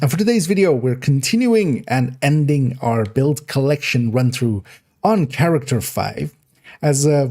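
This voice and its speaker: A man with a hoarse, raspy voice presents a casual gaming video, his pitch swinging lively up and down. He rambles, restarts his lines and laughs at his own slips. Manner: rambling, self-correcting, laughs at himself. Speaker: gaming content creator. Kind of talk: casual video intro with retakes.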